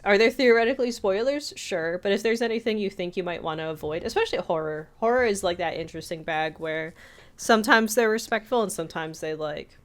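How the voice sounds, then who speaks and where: A woman's voice: medium pitch, brisk. A woman co-hosting a casual podcast, chatty and given to telling things as quoted back-and-forth. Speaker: podcast co-host.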